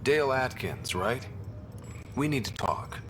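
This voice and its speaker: A man's voice: medium pitch, big pitch swings, measured pace, music in the background. A man acting as a determined young space explorer in a video game, speaking in a casual, direct way. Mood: confrontational.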